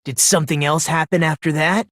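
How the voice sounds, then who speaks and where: An actor playing an eerily polite, smug high school boy in an anime game dub, talking in a condescending, leisurely, theatrical way. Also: fairly even intonation, a little fast, fairly bright tone, no room sound, studio quality. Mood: smug explaining. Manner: condescending, leisurely, theatrical, rhetorical tag questions. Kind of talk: anime video game dub acting, deductive monologue.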